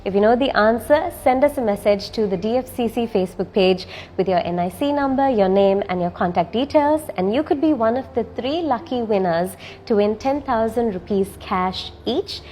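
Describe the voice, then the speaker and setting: A woman's voice: on the high side, expressive, normal speed, few pauses, dark tone, a little hiss or hum. A woman hosting an interview show, politely asking questions and reading out a contest. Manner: polite, scripted host sign-off. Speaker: show host.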